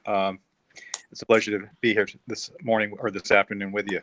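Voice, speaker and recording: A man's voice: fairly low pitch, flat delivery, a little fast, fairly bright tone. A man who is a doctor, introducing himself on a video call, hesitant and full of fillers. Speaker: gastroenterologist.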